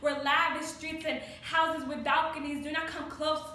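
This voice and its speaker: A teenage girl from New York City performing slam poetry in a deliberate, emphatic way with dramatic pauses. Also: high voice, level tone, bright, forceful tone. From New York City, United States. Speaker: student slam poet. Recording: echoey room, distant mic, faint noise.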